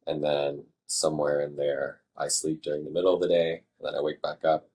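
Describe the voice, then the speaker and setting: A man working as a fire dispatcher, answering interview questions in a measured, thoughtful way with halting phrasing. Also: extremely low pitch, monotone, darkish tone, slightly rough.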